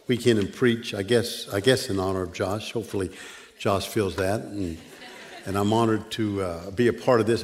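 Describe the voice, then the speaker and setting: An older man giving a sermon as a guest preacher, speaking with a stutter, frequent pauses and restarts, and dry self-deprecating humor. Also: fairly low pitch. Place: church sanctuary.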